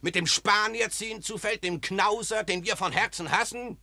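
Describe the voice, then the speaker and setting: A man's voice: sits high, normal speed, sharp tone, heavily acted. An actor playing a bold soldier who rallies his comrades, declaiming rhyming verse in a classic radio play. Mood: defiant.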